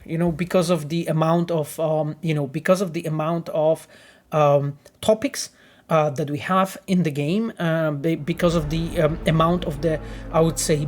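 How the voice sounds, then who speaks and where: A man who is a game developer, answering chat questions on a casual livestream with earnest, halting explanations and many restarts. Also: a little high.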